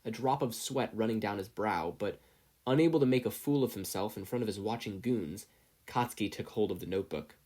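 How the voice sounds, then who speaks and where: Medium-pitched story narrator. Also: brisk, darkish tone. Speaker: podfic narrator.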